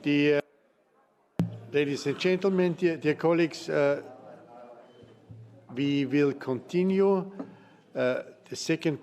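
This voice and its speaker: A man's voice: on the high side, takes it slowly, long pauses, darkish tone. A man moderating a conference, formally introducing the next session chair.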